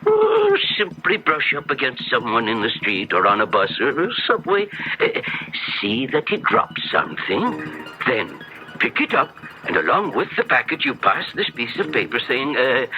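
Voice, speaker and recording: Muffled vintage radio sound, knowing male occult professor, unhurried, theatrical, lecturing, wide swings of pitch. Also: wildly swooping pitch. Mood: instructive. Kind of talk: 1970s radio drama acting. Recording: muffled 1970s radio drama recording.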